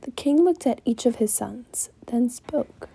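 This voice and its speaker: A woman's voice: a little high, animated, unhurried, creaky, warm tone, slightly rough. A woman reading scripted story narration as a student volunteer in readers theater.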